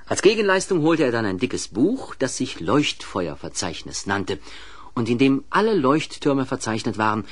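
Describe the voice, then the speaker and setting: Lively male storybook narrator. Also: lowish voice. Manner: expressive storytelling. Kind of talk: scripted children's story narration.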